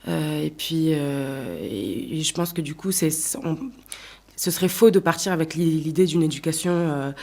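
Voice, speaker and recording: A woman in her thirties from Tunisia, a singer answering interview questions in a reflective way, pausing often and restarting her sentences. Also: sits low, creaky, dark tone. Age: 30s. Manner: thoughtful, many hesitations and restarts. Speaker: singer-songwriter guest.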